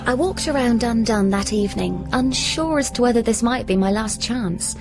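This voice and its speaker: A woman's voice: a little high, expressive, normal speed, music in the background. An actress playing a puzzled, wry woman who narrates and speaks in a surreal radio drama. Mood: musing.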